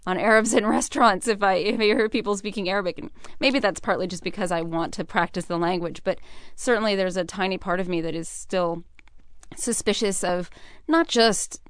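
A woman's voice: mid-range voice, a little fast, smooth, no room sound, studio quality. A young American woman giving earnest, thoughtful and articulate answers as an interview guest. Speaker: interview guest, military veteran.

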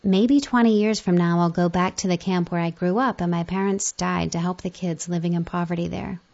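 A woman with a fairly low voice reads magazine articles aloud. There is a pronounced creak in her delivery, and the recording is somewhat dull and muffled. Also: steady tone, brisk, darkish tone.

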